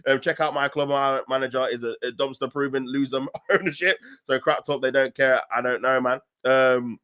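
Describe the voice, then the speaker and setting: A man with a London accent hosting a football fan livestream, chatting casually and answering viewers in an animated, slangy, emphatic way. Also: medium pitch, normal speed, clear, resonant tone. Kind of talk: casual live chat, reading and answering viewer comments.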